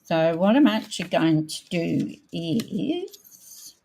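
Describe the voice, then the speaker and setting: A woman with a croaky voice hosting a casual live craft demonstration, thinking aloud as she works and sometimes clearing her throat. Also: lowish voice, big pitch swings, dark tone. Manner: unhurried, thinking aloud while working. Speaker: craft tutorial host.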